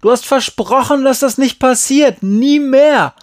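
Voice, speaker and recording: A man voicing a hard-faced but frightened child in an audiobook, blunt, forceful and slangy. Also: mid-range voice, fairly even intonation, measured pace, dry recording, clean studio sound. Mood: furious, scared. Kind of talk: acted audiobook dialogue.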